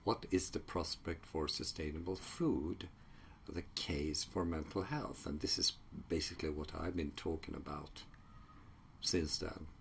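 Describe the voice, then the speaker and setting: A man whose first language is Swedish, a psychology researcher talking casually in a solo video, halting often with fillers and restarts. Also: very deep voice, fairly even intonation, gravelly, a little hiss or hum.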